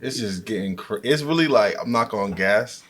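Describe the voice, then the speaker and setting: Slangy lowish-voiced man, animated. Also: normal speed, a crowd in the background. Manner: animated, slangy, laughs at himself. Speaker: podcast co-host. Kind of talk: casual fantasy football chat.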